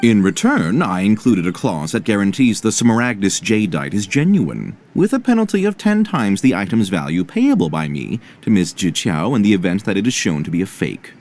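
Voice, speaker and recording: Low-voiced, swooping, courteous merchant. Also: male voice, big pitch swings, darkish tone. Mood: assured. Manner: formal, courteous, deliberate, theatrically inflected. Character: polite, fussy, self-assured male merchant. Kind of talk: anime game voice acting.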